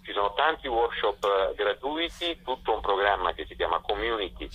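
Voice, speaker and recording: A man with a very low, husky voice speaks over a muffled phone line. He describes a festival program as its director, pausing often with fillers. The line stays dull and lo-fi throughout. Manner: hesitant, with frequent fillers. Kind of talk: radio phone interview. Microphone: telephone.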